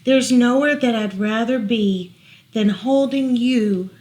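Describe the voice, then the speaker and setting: A woman reading picture books aloud to small children as a storytime librarian, gently explaining as she goes. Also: a little high, poor audio. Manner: gentle, unhurried, explaining pictures to little listeners.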